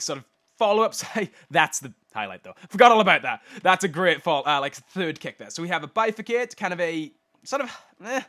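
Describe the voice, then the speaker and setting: Young male host with a head cold, nasal and stuffy, a bit high-voiced, rambling, self-mocking replay commentary. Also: sharp tone. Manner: rambling, self-deprecating, stumbles over names. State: blocked nose from a cold.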